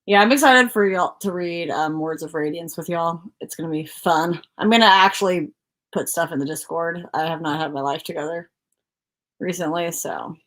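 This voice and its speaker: A woman's voice: on the low side, normal speed, a bit bright. A woman co-hosting a book livestream, chatting casually in a rambling, informal way. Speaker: co-host of a book discussion livestream.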